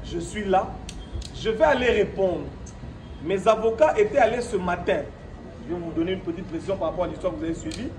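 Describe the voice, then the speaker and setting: A Congolese man with a notably high voice speaks in an echoey room, some distance from the microphone. He delivers an improvised statement to the press, defiant and emphatic, hammering points by repetition and rhetorical questions. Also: brisk, darkish tone. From Democratic Republic of the Congo. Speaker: speaker at his own press conference. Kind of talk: press statement mixing French and Lingala, partly improvised. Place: echoey room at his own premises. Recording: roomy, distant microphone, noisy background.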